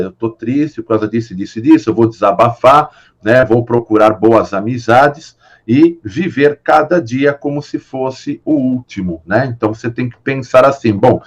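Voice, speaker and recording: A man's voice: medium pitch, muffled recording. A Brazilian man teaching a philosophy class online, explaining patiently with many fillers and tag questions. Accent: Brazilian Portuguese.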